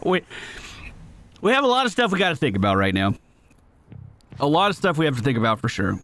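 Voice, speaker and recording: A man's voice: fairly high pitch, fairly bright tone. A man giving casual video game commentary, playfully thinking aloud and repeating his phrases. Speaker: gaming video host.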